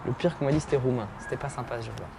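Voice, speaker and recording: A man's voice: medium pitch, very fast, creaky, noisy background. A young man, a comedian guest, chatting jokingly in an interview, teasing and self-mocking while lying back.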